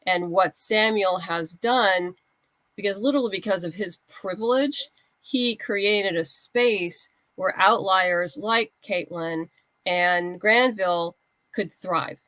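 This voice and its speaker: A woman's voice: medium pitch, slow, fairly bright tone, dry recording, low-quality recording. A woman speaking conversationally as an interview guest, explaining her own creative work as a writer.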